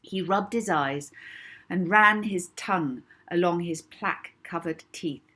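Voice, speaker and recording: Husky, low-voiced woman reading a story. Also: measured pace, pushed and bright. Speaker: author reading own novel aloud. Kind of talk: expressive children's audiobook narration.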